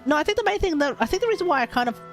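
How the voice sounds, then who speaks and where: A woman's voice: sits high, expressive, very fast. A woman doing casual, chatty live game commentary, thinking aloud as she plays. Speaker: game streamer. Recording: game music behind.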